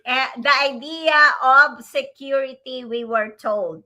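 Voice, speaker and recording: Older woman from the Philippines, co-host; high-ish voice, slow earnest delivery. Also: pushed and bright. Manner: slow, earnest, with frequent fillers. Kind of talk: casual online talk show.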